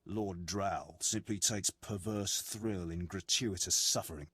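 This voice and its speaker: An actor playing an ambitious, resentful military sergeant in a video game, formal, clipped and self-important. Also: male voice, low voice, measured pace, fairly bright tone, slightly rough, dry recording, heavily acted. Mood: dry, disdainful. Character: ambitious, resentful, impatient male military sergeant of an evil empire.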